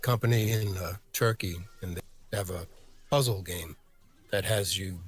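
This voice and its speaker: A man answering as an interview guest, a voice actor talking casually in a halting, reflective way. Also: low-pitched, slightly rough.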